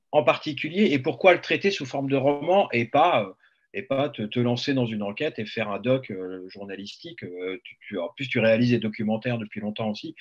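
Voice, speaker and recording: A man moderates an informal interview, putting questions in a hesitant, wry and familiar way. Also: medium pitch. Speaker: webinar moderator. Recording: dull lo-fi webinar audio.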